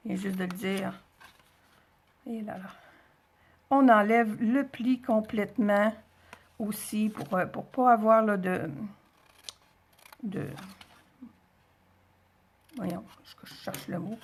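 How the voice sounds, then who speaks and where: Casting: female craft-tutorial host, Quebec French accent, slightly high pitch, notably husky tone, informal and chatty, thinks aloud while demonstrating step by step.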